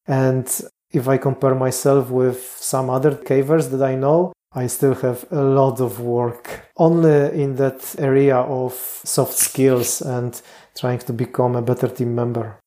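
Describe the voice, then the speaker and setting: A man's voice: mid-range voice, little pitch movement, warm tone. A man with a strong Polish accent, a Mars mission candidate answering interview questions in a thoughtful way, often stopping to restart. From Poland. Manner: thoughtful, frequent restarts and pauses.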